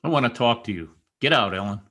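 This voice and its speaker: A man's voice: medium pitch, animated, quick pace, bright, forceful tone. A man acting a brooding, jealous young stable boy with intense delivery in an old-time radio drama. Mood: commanding. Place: indoor, remote video-call session. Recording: noisy remote video-call audio.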